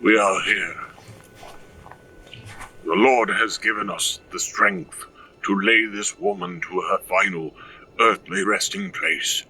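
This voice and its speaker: A man playing a solemn village reverend in an audio drama, preaching and reciting scripture in a measured way. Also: on the low side, gravelly, sharp tone, far from the mic, a little hiss or hum, exaggerated voice, rough recording. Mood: solemn, prayerful.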